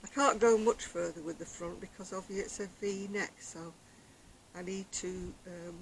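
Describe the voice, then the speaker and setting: An older woman vlogging about her crochet, chatting casually on an outdoor deck in a quiet, soft-spoken, rambling way. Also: lowish voice, steady tone, moderate pace, noisy background. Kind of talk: casual chat to camera. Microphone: external camera microphone.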